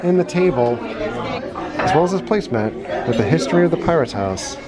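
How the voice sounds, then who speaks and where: A man's voice: fairly high pitch, lively intonation, normal speed. A man in his forties giving a wry, chatty running commentary as he tours sights on a trip, filmed on a handheld camera. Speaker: travel vlogger. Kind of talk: casual travel vlog narration. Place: busy indoor restaurant. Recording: noisy restaurant crowd around him.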